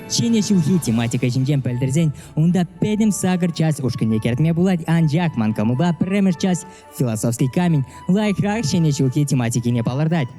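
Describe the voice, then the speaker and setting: Man, native Chuvash speaker, film review narration, very mellow dark tone, slightly high pitch, background music. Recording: music under the voice.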